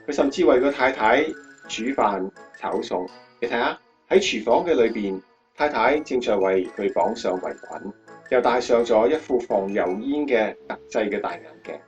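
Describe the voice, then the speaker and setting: A male actor narrating a life story in a radio drama in expressive Cantonese, as an admiring storyteller. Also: medium pitch, moderate pace, faint music behind, natural voice.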